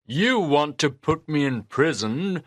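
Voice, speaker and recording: A man's voice: on the high side, lively intonation, takes it slowly, a bit bright, no echo, clean studio sound, heavily acted. A man voicing a brave, kind village father in a storybook reading, firm and defiant.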